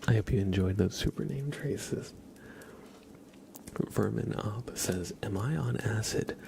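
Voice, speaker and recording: A soft-spoken man hosting an ASMR livestream, chatting casually and unhurriedly close to the mic, drifting between whispers and a low murmur. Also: measured pace, creaky, a little hiss or hum. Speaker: ASMR live streamer.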